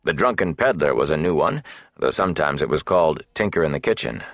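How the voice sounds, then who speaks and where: A man narrating a fantasy audiobook, telling the story in a measured, deliberate, dramatic way. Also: extremely low pitch, lively intonation, a bit bright, dry recording, studio quality.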